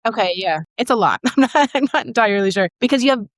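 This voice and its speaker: Fast-talking woman podcast host. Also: on the high side, animated, no echo. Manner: chatty, self-described blunt. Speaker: podcast host interviewing guests. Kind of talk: conversational podcast interview.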